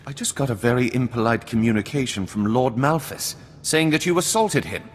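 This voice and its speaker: A man acts a composed noble schemer for a video game. His mid-pitched voice moves expressively, formal yet wry. It rings in a long hall over light music. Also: expressive, fairly quick, a little hiss or hum, close to an everyday voice. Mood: wry, businesslike. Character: composed, calculating nobleman spymaster, courtly and dry.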